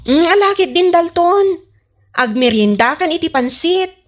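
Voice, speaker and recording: A woman's voice: extremely high pitch, lively intonation, a little slow. A woman acting an earnest, slighted street noodle seller with an Ilocano accent in a comedy radio drama. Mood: sympathetic.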